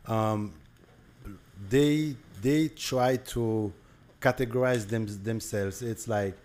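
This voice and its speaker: A man's voice: mid-range voice, slow, a little mellow. A young man with a Haitian accent, talking casually as a podcast guest, with many fillers and restarts. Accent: Haitian Creole. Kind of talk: casual conversation.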